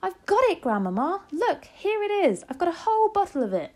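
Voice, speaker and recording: A woman voicing an excited small boy turned into a mouse, exclaiming breathlessly in a storybook reading. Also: mid-range voice, brisk, lightly acted.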